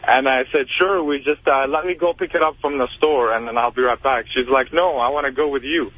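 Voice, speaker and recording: A man's voice: medium pitch, fairly quick, dry recording. Young man on a tinny phone line. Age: 20s. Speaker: radio show caller. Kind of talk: casual storytelling.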